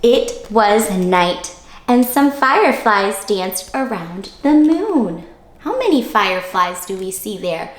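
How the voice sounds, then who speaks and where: A woman reading a picture book aloud to young children, animated and coaxing, pausing to ask them simple questions. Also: medium pitch, roomy sound. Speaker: children's storytime reader.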